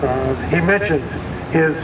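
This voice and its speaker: A man giving an earnest, halting speech with many fillers at an outdoor podium by a memorial. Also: on the high side. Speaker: supporter speaking at a campaign event. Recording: distant mic, dull sound, outdoor noise.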